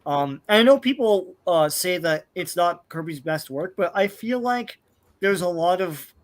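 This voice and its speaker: A man's voice: high voice, animated, a little slow. A man in casual podcast chat about comics, rambling with lots of hesitations. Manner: rambling, lots of ums and likes.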